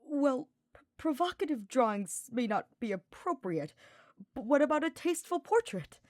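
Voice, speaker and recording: A woman narrator puts on a meek young male archer for a fantasy story. His voice sits high and he speaks in an eager, nervous way, stammering and hesitating. The recording is close and dry. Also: very animated, normal speed, a little mellow, dry recording. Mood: meek, hesitant.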